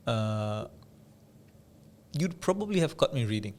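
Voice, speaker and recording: Guy from dubai on a podcast, medium pitch, talks in measured bits with pauses, tells stories like a business coach. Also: big pitch swings, darkish tone. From Dubai, UAE. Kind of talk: conversational interview storytelling.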